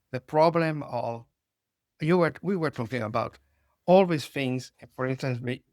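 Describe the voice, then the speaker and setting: A man's voice: medium pitch, no echo. A middle-aged man hosts an interview. He muses aloud, searches for words and restarts his phrases. His voice sounds close and dry. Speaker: podcast host. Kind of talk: conversational interview.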